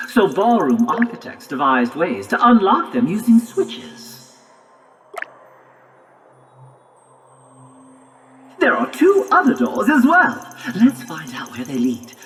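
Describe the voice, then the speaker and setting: A woman voicing a chatty, know-it-all robot guide in a video game, explaining things in a prim, wordy way. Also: mid-range voice. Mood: eager, explaining. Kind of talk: video game cutscene dialogue and narration.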